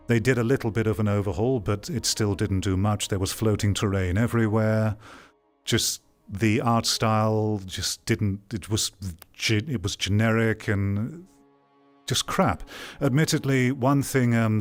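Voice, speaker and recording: A man in his thirties giving blunt, off-the-cuff critical commentary as a streamer, feeling out his phrasing as he goes. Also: on the low side. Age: 30s. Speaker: streamer reacting to a video.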